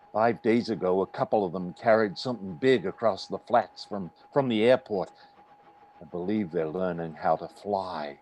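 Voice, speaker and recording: An actor playing a paranoid, beaten militia soldier in a radio play, with a jittery, stammering, emphatic delivery. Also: male voice, lowish voice, darkish tone. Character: paranoid, defeated militia soldier guarding his territory. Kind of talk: radio play reading, dramatic dialogue.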